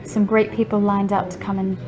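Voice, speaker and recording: A woman's voice: mid-range voice, level tone, a little fast. A woman guest in a casual interview, talking upbeat and keen about her property ventures. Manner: upbeat, enthusiastic. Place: members' club room. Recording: crowd chatter behind.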